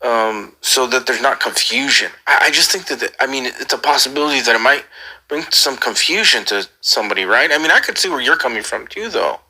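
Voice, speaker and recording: A man's voice: mid-range voice, expressive, a little fast, sharp tone, slightly rough, rough recording. A man on a casual call, asking earnest questions in a hesitant way and often repeating his phrases. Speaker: caller.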